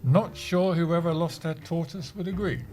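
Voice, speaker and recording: An actor with a British accent playing a mild, wistful, slightly odd office worker in an audio comedy. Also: male voice, on the high side, moderate pace, a little mellow, soft background music, lightly acted. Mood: mild, matter-of-fact.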